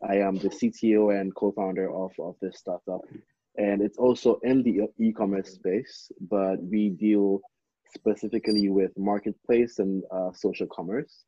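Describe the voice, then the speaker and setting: A man from Ghana with a husky voice, speaking as a podcast guest in a measured, hesitant conversational way. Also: lowish voice, mellow, dry recording. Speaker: podcast guest, startup CTO. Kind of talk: conversational self-introduction. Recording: dull lo-fi, dry recording.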